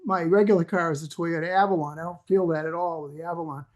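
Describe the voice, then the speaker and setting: A man, a club member, chatting casually on a conference call, halting and searching for words. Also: a little high, steady tone, muffled recording. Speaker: club member, classic car owner. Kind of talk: casual chat.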